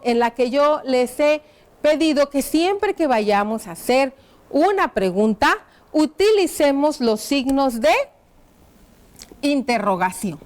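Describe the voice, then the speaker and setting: Woman, schoolteacher, high voice, patient and explanatory classroom delivery. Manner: patient, explanatory, frequent check-in questions. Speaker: primary school teacher. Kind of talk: educational TV class, addressing pupils.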